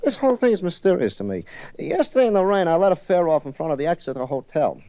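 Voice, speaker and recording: An actor playing a chatty, rambling cab driver in a 1950s radio drama. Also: male voice, a little high, very animated, fast, dry recording. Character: fast-talking male cab driver, rambling. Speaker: cab company representative. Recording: dull old radio broadcast.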